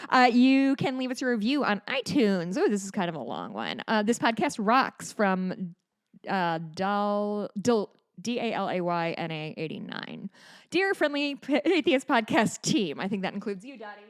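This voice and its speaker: A woman's voice: mid-range voice, big pitch swings, normal speed, no room sound, clean studio sound. A woman from Chicago co-hosting a podcast, chatty with joking asides, reading listener reviews aloud. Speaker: podcast co-host. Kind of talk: casual podcast chat.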